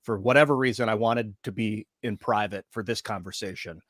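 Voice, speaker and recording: Guy hosting a podcast from a shut room, kinda halting, likes, ums, dead dry audio. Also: fairly low pitch, fairly bright tone, no room sound. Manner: halting, searching for words, many fillers. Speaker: podcast host. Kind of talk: casual interview questions. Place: private closed room.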